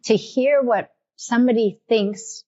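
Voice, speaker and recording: A woman's voice: on the high side, unhurried, dark tone, highs cut off. A woman with a New York accent hosting a casual podcast interview, thinking aloud with many restarts and real enthusiasm. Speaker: podcast host.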